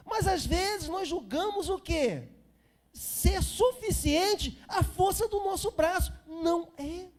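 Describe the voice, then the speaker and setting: A man's voice: very high-pitched, darkish tone. A Brazilian man in his fifties preaching an informal sermon as a pastor, repeating phrases for emphasis and chatting to the congregation. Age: 50s.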